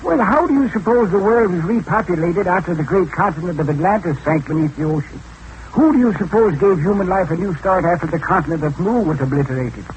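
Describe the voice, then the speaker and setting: High-voiced crusty old hermit. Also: male voice, lively intonation, a little fast, lo-fi sound. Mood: solemn. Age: older. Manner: quaint, folksy, grumbling speech. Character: crusty old male hermit, grumbling and pious. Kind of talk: radio adventure serial acting. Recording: noisy old radio drama recording.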